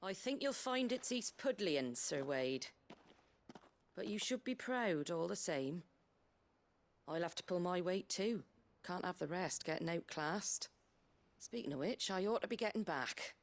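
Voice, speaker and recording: A woman voicing a plain-spoken, practical village woman in a game, matter-of-fact and gently teasing. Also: on the low side, lively intonation, measured pace. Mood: wry, proud. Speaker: video game character. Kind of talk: dramatic game voice acting.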